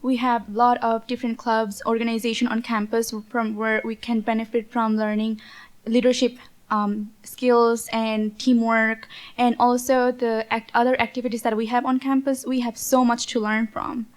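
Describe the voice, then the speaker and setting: A young woman from Bangladesh, a student speaking on a panel, halting with many fillers. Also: a little high, flat delivery. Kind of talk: panel talk.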